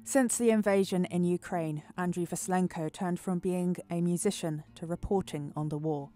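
A woman's voice: fairly low pitch, normal speed, mellow, soft background music. A woman news reporter delivering a scripted voice-over report.

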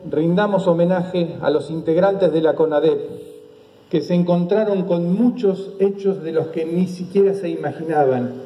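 A man's voice: fairly high pitch, slight background noise. Solemn man reading outdoors. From Argentina. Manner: solemn, measured, reading in short phrases. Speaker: speaker at a memorial ceremony. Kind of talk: formal commemorative speech read from paper. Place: outdoors on a town green by a street. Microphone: microphone on a stand. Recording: dull outdoor recording with echo and faint ambience.

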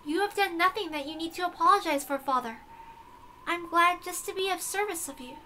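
Woman playing a meek, high-voiced young lady. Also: slight background noise. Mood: devoted. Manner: soft, polite, deferential, halting. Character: meek, formal, devoted young woman addressing her father.

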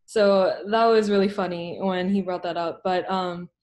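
A woman's voice: fairly low pitch, steady tone, moderate pace, a little mellow. A young woman from the United States, an artist talking loosely with many ums and likes on a video call from home. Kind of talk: casual panel discussion. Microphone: laptop mic on a video call.

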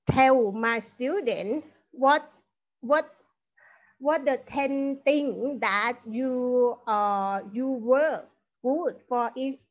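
A woman lecturer with a Thai accent talks slowly and haltingly over a video call, her voice sitting a little high. She fills gaps with many ums and starts phrases over. The sound is dull and lo-fi, like online call audio. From Thailand. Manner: halting speech with many fillers and restarts. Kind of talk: panel discussion talk.

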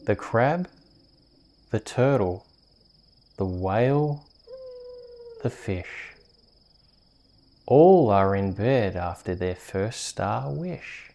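An Australian man with a mellow, slightly creaky voice reads a bedtime story for ASMR. His delivery is slow, soft and soothing, as a host settling listeners down for sleep. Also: on the low side, animated, slight background noise. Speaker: host reading bedtime stories on a video channel.

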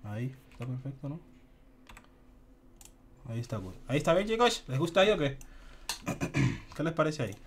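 A man's voice: mid-range voice, big pitch swings, normal speed, long pauses, a little hiss or hum. A young man from the Republic, chatting casually as he streams a shooter game, slipping English words in. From Dominican Republic. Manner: chatty, laid-back, mixes English words in. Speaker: gaming streamer. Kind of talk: casual gameplay commentary. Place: home room, indoors.

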